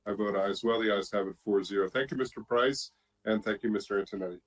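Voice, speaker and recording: Low-voiced man chairing a public meeting. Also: fast talker. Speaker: planning board chair presiding over meeting. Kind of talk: procedural public meeting moderation.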